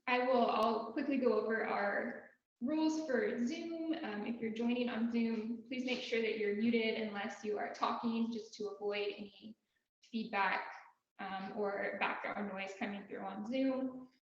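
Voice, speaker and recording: A soft-spoken woman opening a club meeting as its president, in a relaxed, friendly way. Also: fairly high pitch, a little slow. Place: meeting room, in person. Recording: very echoey room, dull sound.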